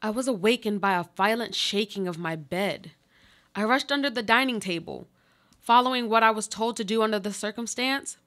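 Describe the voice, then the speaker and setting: A woman playing a desperate, pleading earthquake victim buried alive, in a deliberate, literary radio play monologue. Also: medium pitch, fairly bright tone, lightly acted. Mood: anxious recollection. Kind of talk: live radio play monologue, read from a script.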